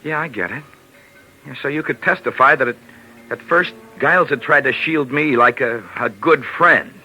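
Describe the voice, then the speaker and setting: An actor playing a bitter, wounded husband on the run in a 1940s radio drama, speaking with raw emotion. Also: male voice, mid-range voice, moderate pace, sharp tone, faint music behind. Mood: dejected. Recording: noisy old 1940s radio recording.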